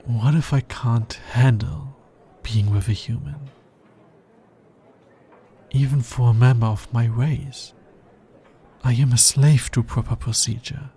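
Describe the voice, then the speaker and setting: A man's voice: mid-range voice, fairly even intonation, slow, pauses often, warm tone, slight background noise. A man playing an anxious, smitten alien scientist in an intimate ASMR roleplay, with hesitant, earnest and precisely formal speech.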